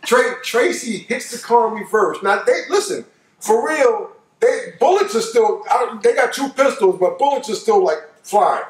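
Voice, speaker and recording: An older American man with a high voice, spinning a tale in loose, colloquial speech. He repeats phrases to hammer them home. He sounds set back from the microphone in a roomy space. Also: animated, normal speed, recorded from a distance. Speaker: storyteller. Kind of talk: animated personal storytelling, casual. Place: living room couch, indoors.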